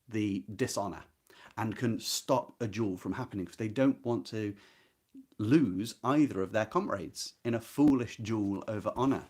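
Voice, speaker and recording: A man's voice: mid-range voice, a little mellow, husky. A man hosting an informal literary discussion, explaining conversationally and often turning to the listener with questions. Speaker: host of a book readalong. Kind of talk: informal literary discussion with short read-out passages.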